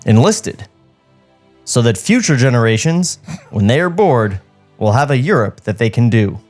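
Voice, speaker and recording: A man's voice: mid-range voice, sing-song, moderate pace, darkish tone, faint music behind, natural voice. A man playing a breezy, self-important young army enlistee with grandiose, theatrical delivery in a comic film script read.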